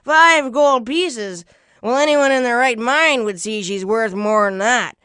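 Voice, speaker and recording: Woman voicing a loud folksy old bearded trader. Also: fairly high pitch, measured pace, clear, resonant tone, dry recording, studio quality. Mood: haggling, scoffing. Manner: folksy, loud, showy salesman talk. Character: strange old bearded man, cunning folksy bean trader.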